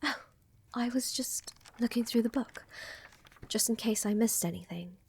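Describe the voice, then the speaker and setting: A woman playing a tender, doting vampire countess in a soft romantic ASMR roleplay, gentle, reassuring and often whispering close to the microphone. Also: a little high, vocal fry, a little hiss or hum. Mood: tender, attentive. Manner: gentle, reassuring, unhurried, affectionate. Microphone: close microphone, dry.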